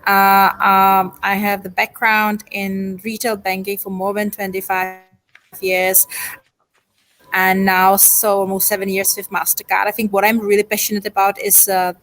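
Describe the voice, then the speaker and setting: A woman's voice: medium pitch, monotone, measured pace, a bit bright, poor audio. A middle-aged woman with a Czech accent, introducing herself conversationally as a panelist on a video call. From Czech Republic. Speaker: panelist, corporate executive. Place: remote video call on a low-bandwidth connection.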